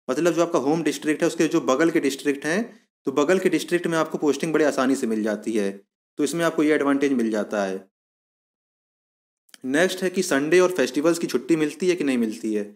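Male explainer host, slightly high voice, informal step-by-step delivery with repeated points. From India. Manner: repetitive, step-by-step explaining, addressing viewers as friends. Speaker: YouTube channel host explaining a police job.

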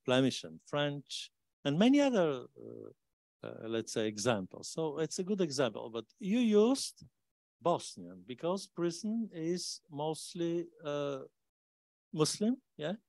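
A man's voice: a little high, very animated, takes it slowly, creaky, a little mellow, no echo. An older man, speaking in an academic discussion at a conference table and stressing key words with emphasis.

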